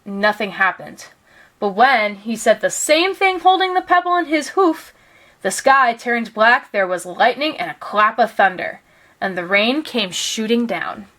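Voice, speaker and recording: A woman narrating a children's picture book aloud in an expressive, careful storytelling manner. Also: fairly high pitch, unhurried.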